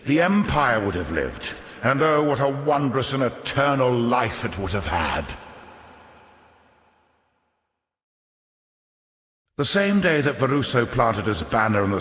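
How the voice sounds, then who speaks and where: An actor playing a sly, pompous older fallen aristocrat turned greedy merchant in a video game, speaking in florid, theatrical, unctuous patter. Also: male voice, medium pitch, big pitch swings, normal speed, fairly bright tone. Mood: bitter, wistful. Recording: echoey hall reverb on voice.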